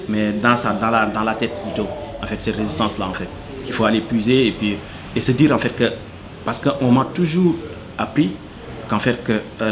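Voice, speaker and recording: A man's voice: mid-range voice, noisy background. A man from Senegal, answering interview questions earnestly and hesitantly, full of fillers. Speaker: cook, interviewee.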